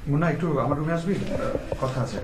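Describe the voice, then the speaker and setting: A man's voice: fairly high pitch, quick pace, background noise, close to an everyday voice. A man acting a polite, earnest young friend in a TV drama. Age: young adult. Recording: roomy echo, loud guitar music behind.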